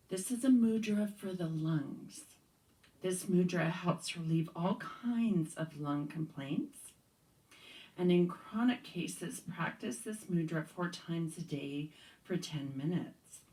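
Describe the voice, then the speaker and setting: A soft-spoken woman leading a gentle yoga practice, guiding breaths calmly and sometimes dropping to a whisper. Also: on the low side, distant mic. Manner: slow, calm guiding with whispered cues. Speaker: yoga teacher. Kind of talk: guided yoga instruction. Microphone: handheld or clip mic.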